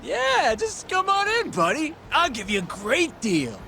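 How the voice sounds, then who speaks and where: A male video game tout, pushy and easily rattled. The voice is extremely high for a man and swoops a lot, with faint street noise behind it. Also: huge pitch swings, measured pace, gravelly, pushed and bright, heavily acted. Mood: wheedling. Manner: wheedling salesman patter.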